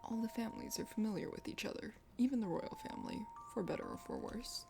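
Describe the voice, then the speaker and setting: A woman's voice: fairly high pitch, animated, fast talker, gravelly, music in the background. A woman voicing a proud but bashful young hunter prince with a crush, haughty and easily flustered, in an ASMR play-through of a dating game. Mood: wistful, soft.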